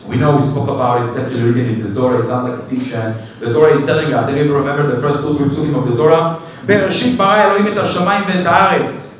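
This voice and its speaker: A man's voice: a little high, racing, slight background noise. Man teaching Torah in an echoey hall. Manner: animated, jokes with audience, breaks into short chanted tunes. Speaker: rabbi giving a weekly class. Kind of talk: informal Torah class. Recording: echoey hall, mic from a distance.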